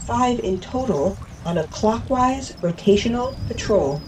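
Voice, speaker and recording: A woman's voice: on the high side, animated, measured pace, far from the mic, background noise. A woman playing a serene, literal-minded artificial crewmate in a sci-fi audio drama, speaking formally and precisely. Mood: calm, matter-of-fact.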